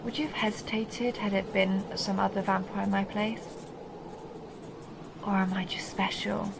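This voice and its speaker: A woman's voice: mid-range voice, level tone, normal speed, vocal fry, noisy background, lightly acted. A woman playing a seductive, possessive vampire countess who teases and condescends in an intimate audio roleplay monologue. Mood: musing, taunting. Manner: teasing, mocking, intimate purring address.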